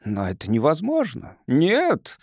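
A man's voice: a little high, huge pitch swings, unhurried, vocal fry, a little mellow, no echo, clean studio sound, pitched above the performer's own voice, full character voice. A man voicing a stern, indignant male chief councillor of a space colony in an audiobook. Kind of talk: audiobook character dialogue.

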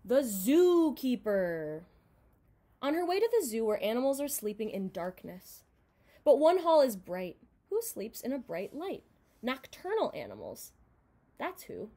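A young woman reading a children's picture book aloud in an expressive, dramatized way, recorded in a room at home. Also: fairly high pitch, a little slow. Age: young adult. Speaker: storybook reader.

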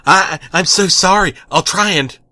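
A man's voice: high voice, a little slow, bright, forceful tone, no room sound, studio quality, pitched above the performer's own voice, heavily acted. A man voicing a timid, exasperated male superhero sidekick, stammering and apologetic, in a comic story reading. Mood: nervous, apologetic.

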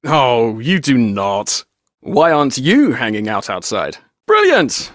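A man's voice: mid-range voice, wildly swooping pitch, slow pace, no room sound, studio quality. An actor voicing a breezy, witty young male tour guide who teases playfully. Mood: teasing. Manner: playful, teasing.

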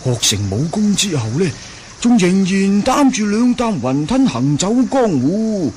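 An actor playing an earnest, knowing martial-arts disciple who explains things in a Hong Kong Cantonese radio drama. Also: male voice, high voice, sing-song, unhurried, a little mellow. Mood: explaining. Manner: explanatory storytelling. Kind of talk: 1980 radio drama acting. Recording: old radio drama, slight noise.

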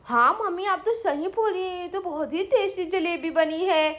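Woman voicing an eager, very high-pitched little girl. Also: normal speed, a bit bright. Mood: excited. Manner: eager, chatty, childlike. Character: excited little girl hosting her party.

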